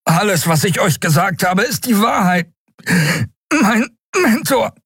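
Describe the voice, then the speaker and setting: Here is a desperate captive in a narrated story. His voice is thin, strained high and strangled, breaking into halting gasps as he pleads, with a husky edge. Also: animated, a bit bright, dry recording, studio quality, full character voice. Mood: desperate pleading. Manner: halting, broken phrases. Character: choking captive man, gasping and pleading desperately.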